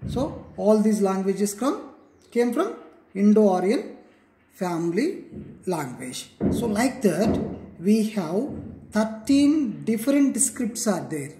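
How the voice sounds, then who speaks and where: An unhurried Telugu-accented teacher lectures a class, voice mellow and dark, repeating points and checking in with frequent okays and clears. The sound rings around a reverberant room. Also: medium pitch, big pitch swings. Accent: Telugu Indian English. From Telangana, India. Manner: repetitive, checks in with okay and clear. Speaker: school teacher. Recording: echoey room.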